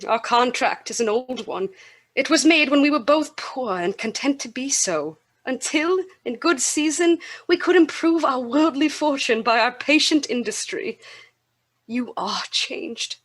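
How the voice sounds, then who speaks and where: A young Victorian woman in a radio play, gentle and sorrowful. Her voice sits fairly high, and she speaks in measured, formal period phrases to the man she is giving up. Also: expressive. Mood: grave, hurt. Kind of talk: Victorian radio drama acting.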